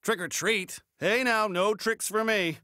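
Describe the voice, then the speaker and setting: A man's voice: high-pitched, unhurried, pushed and bright, dry recording, clean studio sound. A man voicing an adult male character in a fantasy video game. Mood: wary.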